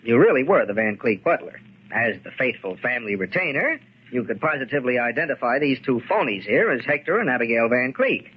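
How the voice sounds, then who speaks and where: A man's voice: mid-range voice, very animated, a little fast, slightly rough. A young man playing a newspaper photographer in a 1940s radio drama, talking in a breezy, wisecracking way. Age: young adult. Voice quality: wisecracking, breezy tough-guy talk. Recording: muffled 1940s radio recording.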